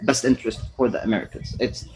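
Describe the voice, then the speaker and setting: A man from Palestine talking politics in a casual online discussion, measured and thoughtful, with many pauses and fillers. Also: medium pitch, fairly even intonation, a little mellow, faint music behind, rough recording. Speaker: guest.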